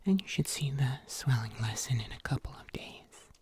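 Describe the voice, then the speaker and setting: An ASMR performer playing a kindly huntsman healer in a whispered fantasy roleplay, soothing and breathy, with old-fashioned phrasing. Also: medium pitch, lively intonation, moderate pace, darkish tone, natural voice. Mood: gentle, caring. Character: kindly fairy-tale huntsman healer, caring and gently wry.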